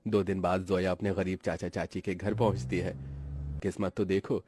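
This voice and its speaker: A man's voice: deep voice, dark tone, slightly rough. A man narrating a cartoon family story in a dramatic scripted storytelling style.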